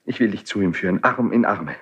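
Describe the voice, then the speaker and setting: An actor playing a passionate, defiant young Spanish prince stricken with grief, declaiming classical verse in a 1970s German radio drama. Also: male voice, lowish voice, a little fast, a bit bright, husky. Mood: urgent, hopeful. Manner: declamatory, impassioned. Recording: dull 1970s radio studio recording.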